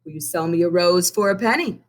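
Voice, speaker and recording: A woman voicing an eager little boy who asks politely and quickly to buy things. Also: fairly low pitch, lightly acted.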